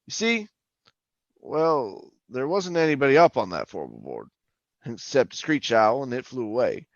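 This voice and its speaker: A man's voice: medium pitch, big pitch swings, normal speed, creaky, no room sound, dull audio. An actor playing a folksy, talkative oil-rig worker who tells his eerie tale in colloquial radio horror drama style. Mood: folksy narrating. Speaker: actor and narrator. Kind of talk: old-time radio horror drama acting and first-person narration.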